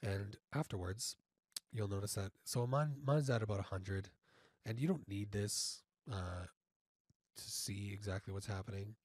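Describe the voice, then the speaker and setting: A man informally instructing step by step in a software tutorial, halting and often checking in, on a close mic. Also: fairly low pitch, dry recording. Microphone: close studio mic through audio interface.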